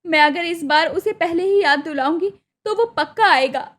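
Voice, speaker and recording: Woman acting devoted young village sister, extremely high voice, earnest, pleading, cartoon moral story. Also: normal speed, a bit bright. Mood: sad, worried.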